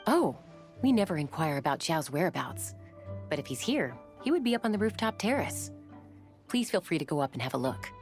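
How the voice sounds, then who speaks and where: A woman's voice: deep voice, sing-song, a little fast. A woman voicing a courteous, welcoming innkeeper in a video game, with a polite and deferential manner. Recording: faint music behind.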